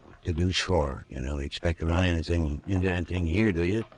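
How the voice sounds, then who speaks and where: An actor playing a grumbling, skeptical, jittery small-town doctor in an old-time radio horror drama. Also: male voice, sits low, a little fast, a little mellow, breathy edge. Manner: grumbling, folksy exclamations. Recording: noisy vintage radio recording.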